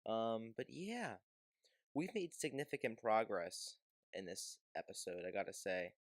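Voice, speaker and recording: A man with a fairly low voice hosts a gaming video. He talks casually and loosely, rambling and hesitating as he explains things. The recording is dry, with very little room sound. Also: sing-song, measured pace, no room sound. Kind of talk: casual game video commentary.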